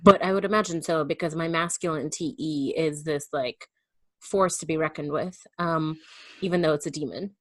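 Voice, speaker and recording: A woman's voice: sits low, moderate pace, fairly bright tone, no echo, rough recording. A woman guest in a casual personal chat, speaking candidly and informally with emphatic turns of phrase.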